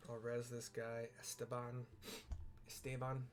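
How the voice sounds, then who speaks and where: A man narrates a video game in a casual, rambling way, explaining as he goes. His voice sits at an average pitch, and music plays clearly behind him. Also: monotone, measured pace. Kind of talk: casual gameplay commentary with visual description.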